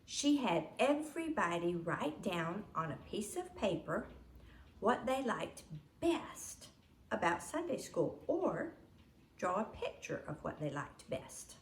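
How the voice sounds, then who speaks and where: A woman reading a children's story aloud in an animated, expressive narrating style. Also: mid-range voice, slow, roomy sound, slight background noise. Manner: animated, acts out dialogue. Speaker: storybook reader.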